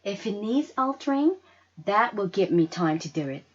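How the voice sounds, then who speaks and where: A woman voicing a tired, tender mother in a story read aloud, gentle and reassuring. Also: medium pitch, sing-song, moderate pace, highs cut off, natural voice. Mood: kindly.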